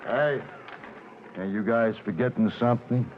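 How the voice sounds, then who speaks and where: A man's voice: medium pitch, measured pace, noisy background, lightly acted. An actor playing a menacing, tough male prison bully who talks in clipped threats, in 1940s radio acting style. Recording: tinny old radio broadcast.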